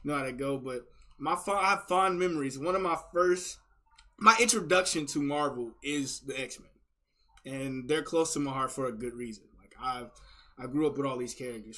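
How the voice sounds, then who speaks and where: A man's voice: medium pitch, a bit bright. A man hosting a reaction video, giving casual, rambling fan commentary in an effusive, slangy, repetitive way.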